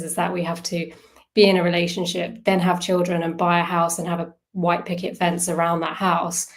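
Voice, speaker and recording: Lower-voiced woman leading an informal workshop. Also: creaky. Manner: conversational, thinking aloud, questioning. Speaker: workshop facilitator on self-soothing. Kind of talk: informal online talk with audience questions.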